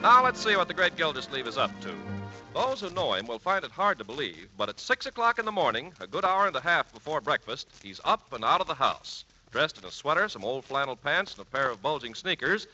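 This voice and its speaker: A man's voice: on the high side, big pitch swings, fairly quick, sharp tone, soft background music. A man narrating a comedy as announcer in scripted 1940s radio style. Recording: muffled vintage radio recording.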